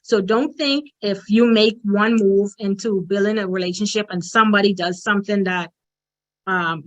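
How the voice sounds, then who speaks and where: A woman's voice: medium pitch, moderate pace. A middle-aged woman from the Bahamas with a strong Bahamian accent, giving an emphatic, direct motivational presentation as a conference speaker.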